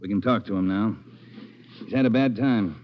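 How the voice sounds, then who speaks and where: An actor playing a demanding, curt chief of detectives in an old radio crime drama. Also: male voice, on the low side, normal speed, lightly acted. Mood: grim, businesslike. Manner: curt, commanding. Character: demanding, gruff male police chief of detectives. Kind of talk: 1940s-50s radio crime drama acting. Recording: dull, faintly noisy vintage radio recording.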